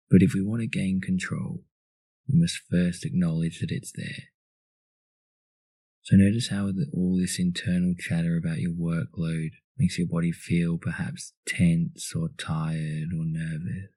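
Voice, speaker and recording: A man leading a guided meditation in a calm, soothing, instructional manner. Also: low-pitched, slightly rough, no echo, poor audio.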